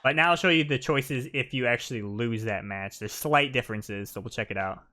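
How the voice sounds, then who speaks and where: A man's voice: medium pitch, a little fast, pushed and bright. A man doing casual live commentary on video game footage, talking in an informal way.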